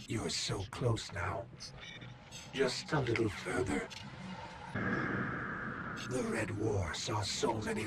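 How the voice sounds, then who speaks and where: Eerie dark spirit in a video game, very slow, low, beckoning, loud noisy ambience behind. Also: male voice, distant mic. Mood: cold, beckoning. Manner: measured, portentous, beckoning. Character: ominous, seductive otherworldly dark spirit, coldly patient.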